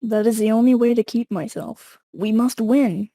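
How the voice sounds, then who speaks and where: A woman's voice: on the high side, moderate pace, dark tone, no room sound, studio quality. A woman playing a resolute, self-sacrificing fighter in an amateur fantasy drama table read.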